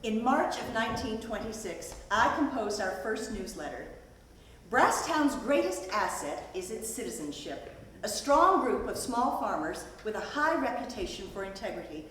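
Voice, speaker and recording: Actress as earnest lady founder giving a stage speech, highish voice, really echoey and far from the mic. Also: big pitch swings, normal speed, a bit bright. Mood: proud, earnest. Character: earnest woman founder of a rural folk school. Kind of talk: scripted stage play narration. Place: stage performance at a festival. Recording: echoey hall, distant mic, noisy.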